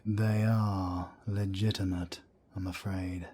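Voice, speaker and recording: A man voicing a grave male werewolf council elder who speaks in a solemn, measured way. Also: low voice, level tone, dark tone, slightly rough, full character voice. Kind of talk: amateur audio drama acting.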